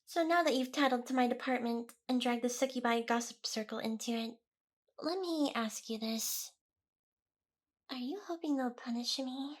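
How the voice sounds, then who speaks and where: A woman's voice: on the high side, normal speed, natural voice. A woman playing a smug, seductive, possessive demon boss in an ASMR roleplay, with a teasing, theatrical delivery. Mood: sultry, menacing.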